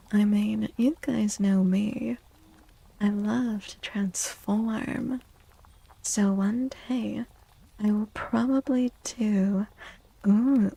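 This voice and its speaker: A woman hosts an ASMR livestream in a very soft, breathy voice that often slips toward a whisper. Her delivery is slow and gentle, with a creaky edge and a mellow tone. She sounds close to the microphone as she chats. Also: medium pitch, dry recording, poor audio. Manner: gentle, unhurried, greeting chatters. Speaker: livestream host, VTuber. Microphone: close microphone, dry.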